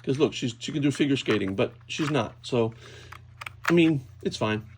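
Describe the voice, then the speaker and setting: A man with a middle-pitched voice talks casually as an unboxing host, working things out aloud with some hesitation. There is faint noise behind him, along with clicks of objects being handled. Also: expressive, moderate pace, darkish tone. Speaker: toy unboxing video host. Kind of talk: casual unscripted hands-on commentary. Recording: clear background noise, clicking handling sounds.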